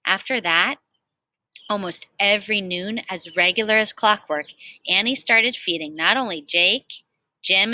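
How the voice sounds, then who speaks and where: A woman teacher reading a picture book aloud to children in an expressive storytelling style. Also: fairly high pitch, dry recording.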